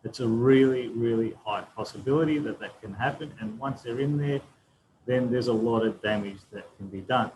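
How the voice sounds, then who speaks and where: A man's voice: mid-range voice, moderate pace, darkish tone, poor audio. Man explaining, faint background hiss. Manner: explanatory, frequent tag "right?". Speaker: panelist. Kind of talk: webinar panel answer.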